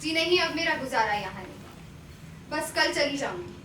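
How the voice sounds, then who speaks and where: Guarded, world-weary young woman, played by a stage actress; high-pitched projected delivery, heard from a distance. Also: animated, normal speed. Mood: resigned, guarded. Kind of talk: Hindi stage play acting, projected. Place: theatre stage, recorded from a distance. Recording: echoey stage, distant mic, faint noise.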